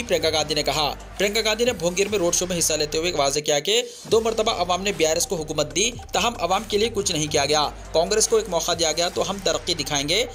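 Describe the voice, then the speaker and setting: A man's voice: high voice, a bit bright. A man reads a scripted news bulletin in a formal, declarative way. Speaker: news reader.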